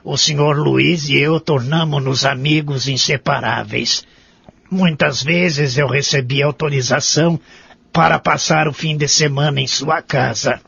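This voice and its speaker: Guy playing doubting old man in audio novela, really husky, formal talk, dry dull recording. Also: fairly high pitch, steady tone, unhurried, fairly bright tone, dry recording, full character voice. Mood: reflective. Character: grumpy, doubting old man in an old people's home. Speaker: narrator and lead character. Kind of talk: audio drama acting and narration.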